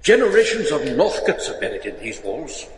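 A resolute gentleman in gothic radio drama. His rather high voice is courteous and theatrical, ringing in a reverberant space with some noise behind it. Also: big pitch swings, moderate pace. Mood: genial, knowing. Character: grave, resolute English gentleman, the judge's confidant. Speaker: actor in a radio play.

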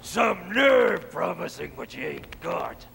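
A man voicing a brash, bossy crocodile detective in a video game, gravelly and streetwise. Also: high voice, big pitch swings, slow, sharp tone, a little hiss or hum, exaggerated voice. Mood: irritated. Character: brash gruff crocodile detective boss, money-minded. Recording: game music behind the voice.

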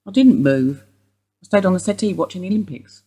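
A husky, guarded elderly suspect. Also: female voice, lowish voice, animated, a little fast, dark tone. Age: older. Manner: guarded, dry, wistful. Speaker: police interview suspect. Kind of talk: radio play acting.

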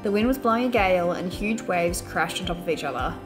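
A woman's voice: fairly low pitch, quick pace. A young Australian woman reading a scripted travel voice-over. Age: young adult. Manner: even, read narration. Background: music.

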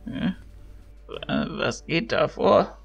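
A man playing a kindly old priest and mentor in an audio drama, speaking in a measured, ceremonial, gravelly way. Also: a little high, animated, lo-fi sound, exaggerated voice. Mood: startled. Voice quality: creaky, gravelly. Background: music.

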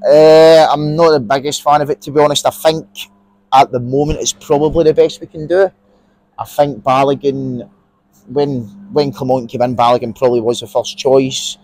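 Young Scottish man, podcast co-host, mid pitch, casual football chat, lapel mic, dry. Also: moderate pace, no room sound, low-quality recording. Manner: relaxed, opinionated, many fillers and restarts. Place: outdoors in an empty stadium stand.

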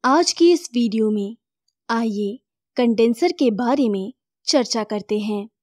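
A woman giving a scripted voice-over for an animated technical lesson, in a measured explaining tone. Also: a little high, no room sound, clean studio sound.

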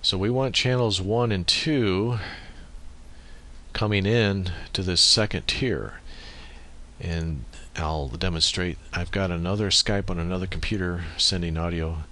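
Low-pitched man explaining software. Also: unhurried. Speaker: software tutorial presenter. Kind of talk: step-by-step screencast tutorial narration. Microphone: USB microphone.

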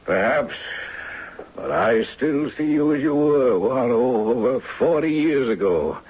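An actor playing an ailing, elderly retired judge, gentle, wistful and courtly, in a 1970s radio drama. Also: male voice, mid-range voice, expressive, a little slow, slightly rough. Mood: fond, wistful. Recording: muffled 1970s radio recording, music behind.

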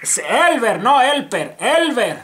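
A man with a Mexican accent playing a slow, stubborn, confused old grandfather on a prank call, rambling and repeating himself. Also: very high voice, big pitch swings, bright, forceful tone, heavily acted. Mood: insistent, fussy. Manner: rambling, repetitive, feigning confusion. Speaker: prank caller. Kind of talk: comic phone prank. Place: living room, speaking to a handheld phone on speaker. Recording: noisy living room with phone clicks.

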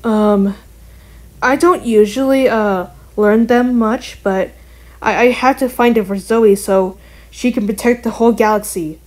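A woman's voice: a little high, fairly even intonation, measured pace, darkish tone, natural voice. A woman voicing an earnest, wary young bat heroine in an amateur cartoon, talking in a casual, hesitant way. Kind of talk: amateur animated voice acting.

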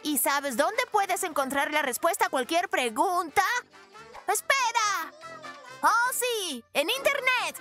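A very high, childlike bear cub in a children's cartoon. The cub is cocky and inventive, bursting with exclamations. Delivery swings widely in pitch and rings out brightly. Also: expressive, slow, soft background music, full character voice. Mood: excited. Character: excitable, boastful, inventive young brown bear cub. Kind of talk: dubbed children's cartoon acting.

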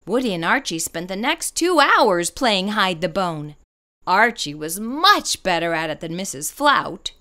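Bright, animated woman reading a storybook. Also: mid-range voice, unhurried. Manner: expressive, carefully phrased for children. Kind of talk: children's picture-book read-aloud.

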